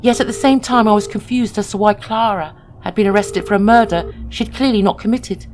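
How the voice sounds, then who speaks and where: A woman reading a scripted first-person horror story in a measured, dramatic, suspenseful way. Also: mid-range voice, darkish tone, faint music behind.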